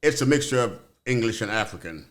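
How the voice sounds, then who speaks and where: A man's voice: medium pitch, animated, brisk. A man from Jamaica, a podcast guest in casual chat who is easygoing, laughs often and lets his sentences trail off. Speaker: guest, former mail carrier.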